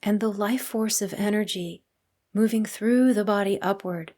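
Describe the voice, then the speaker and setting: Calm woman guiding a meditation. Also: medium pitch. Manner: calm, unhurried, soothing delivery with long pauses. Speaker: guided meditation teacher. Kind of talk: guided meditation narration.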